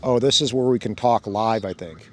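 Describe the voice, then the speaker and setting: A man's voice: lowish voice, moderate pace. A man hosting a casual hands-on demo outdoors by a fountain, thinking aloud and laughing often as he goes. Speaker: drone channel host. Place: outdoors by a fountain, cold weather.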